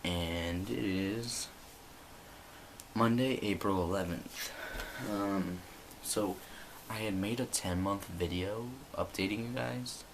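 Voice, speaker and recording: Low-voiced young man, rambling vlog. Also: slow pace, pauses often, background noise. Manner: relaxed, rambling, many ums and likes. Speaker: vlogger. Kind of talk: casual vlog update. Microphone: built-in computer camera mic.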